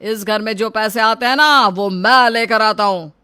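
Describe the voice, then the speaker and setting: A performer plays a tyrannical father and shop owner in a melodramatic family story. The voice is bright and hard-edged, always domineering, with a threatening, shouting delivery. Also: medium pitch, moderate pace, smooth, lightly acted. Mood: angry, domineering. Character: tyrannical, short-tempered middle-aged father and shop owner. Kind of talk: melodramatic audio story dialogue.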